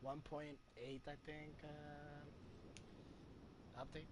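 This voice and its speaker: A man's voice: on the high side, little pitch movement, slow pace, very noisy. A man doing casual live gameplay commentary as a streamer, thinking aloud hesitantly. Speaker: game streamer. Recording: loud game music behind voice.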